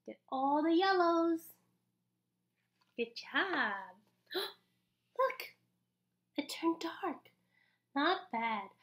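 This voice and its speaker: A young woman with a high voice hosts an interactive story time for little kids. Her delivery is slow and very lively, swinging up and down with exaggerated encouragement. She speaks as if guiding children through each page. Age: young adult. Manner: animated, exaggerated, encouraging, with audible gasps and pauses. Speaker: story-time host reading a picture book aloud. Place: small indoor room.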